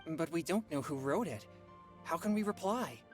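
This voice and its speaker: A man's voice: a little high, moderate pace, faint music behind, natural voice. A man playing an earnest, polite teenage boy exorcist in an anime-style game. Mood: earnest, thoughtful. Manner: polite, expressive.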